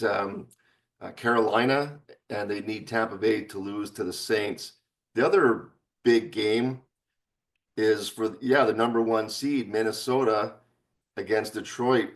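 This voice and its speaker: A man co-hosting a sports podcast, chatting in an easygoing, halting way with many fillers. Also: fairly low pitch, far from the mic. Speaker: podcast co-host, former football player. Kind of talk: casual sports chat.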